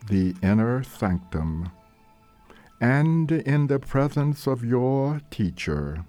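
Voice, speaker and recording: Male meditation leader, extremely unhurried, with rising and falling intonation, gentle and deliberate over background music. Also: medium pitch. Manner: gentle, deliberate, measured phrasing with long pauses. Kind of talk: slow guided meditation narration. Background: soft meditation music.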